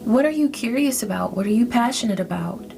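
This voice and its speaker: A woman giving a spiritual tarot reading in a soft, reflective, gently encouraging way. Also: a little high, expressive, brisk, vocal fry. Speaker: tarot reader. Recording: faint music behind.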